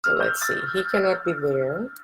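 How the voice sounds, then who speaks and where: Soft-spoken Portuguese woman reading cards. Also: lowish voice, expressive, moderate pace, loud music behind the voice, low-quality recording. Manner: soft, reassuring, often asks "okay?" and laughs. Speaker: tarot reader and live stream host. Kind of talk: live stream card reading.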